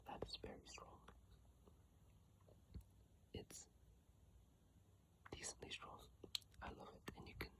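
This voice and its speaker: An ASMR creator whispering in a breathy, halting, informal way, commenting on games close to a phone mic. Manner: slow, hushed, halting and informal. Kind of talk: whispered ASMR reading and rambling game commentary. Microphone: iPhone mic, held close.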